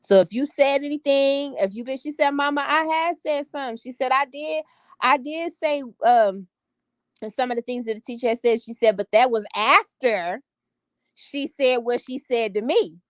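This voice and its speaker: Woman vlogger in her 30s, strong confident delivery, a bit higher than most, dry close sound. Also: normal speed, no room sound. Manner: emphatic, sassy, repeats phrases for effect. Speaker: vlogger talking to live viewers. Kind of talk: casual storytelling chat.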